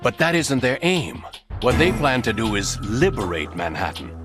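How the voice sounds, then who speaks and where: An actor playing a secretive, impatient intelligence colonel in a video game, talking in a dry, conspiratorial, explanatory way. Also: male voice, medium pitch, big pitch swings, moderate pace, lightly acted. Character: secretive male intelligence colonel, undercover hostage, knowing and impatient. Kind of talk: dramatic video game voice acting. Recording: game music behind the voice. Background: music.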